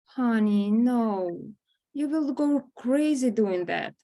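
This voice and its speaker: A woman reading a caring adult guardian at a table read, gentle and unhurried. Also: a little high, expressive.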